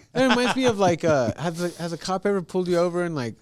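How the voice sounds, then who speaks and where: A man's voice: high-pitched, big pitch swings, quick pace. A man co-hosting a podcast, chatting in an easygoing way. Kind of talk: casual chat.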